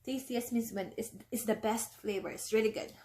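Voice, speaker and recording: A woman's voice: fairly high pitch, steady tone, brisk, a little mellow, a little hiss or hum. A woman, a teacher, reading a picture book aloud to young children, often stopping to explain words and ask them questions.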